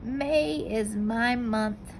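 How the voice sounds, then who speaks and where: A woman reading a storybook aloud, voicing a gentle, patient female talking tree in a soft, slow, hushed way. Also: on the high side, slight background noise. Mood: calm.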